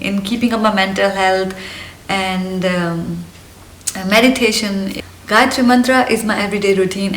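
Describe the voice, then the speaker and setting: A woman's voice: mid-range voice, a little hiss or hum. Woman, 30s, Indian accent, Hindi native. Chirpy and earnest vlogger, recorded in a live room. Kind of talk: personal get-to-know-me vlog. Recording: roomy, slightly distant mic.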